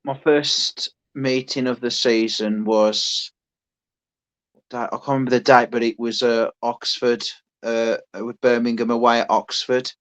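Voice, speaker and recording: A man's voice: medium pitch, little pitch movement, unhurried. A man, co-hosting a podcast, who rambles in casual chat with many restarts. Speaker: podcast co-host.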